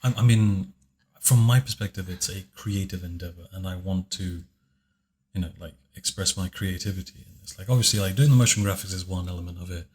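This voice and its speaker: A man with a very deep, low voice, chatting casually in a commentary on his own video, speaking softly and hesitantly with many fillers. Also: a little fast. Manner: soft-spoken, hesitant, with many fillers and restarts. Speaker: video creator giving commentary.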